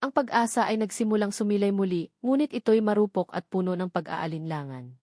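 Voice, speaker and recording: A woman reading a scripted dramatic story in a measured, earnest way. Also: medium pitch, warm tone, no echo, clean studio sound. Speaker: story narrator.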